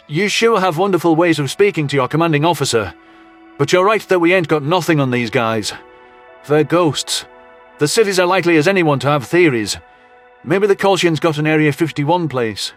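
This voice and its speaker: Guy acting a gruff army boss, bit higher voice, folksy talk, sci-fi audio drama, faint music under. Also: expressive, normal speed, close to an everyday voice. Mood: grudging. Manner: gruff, colloquial. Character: gruff, folksy human male commanding officer.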